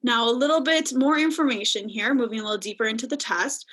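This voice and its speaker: A woman's voice: a little high, fast talker, fairly bright tone. Methodical woman explaining a clinical case. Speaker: clinician presenter. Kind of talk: webinar case walkthrough. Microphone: video call.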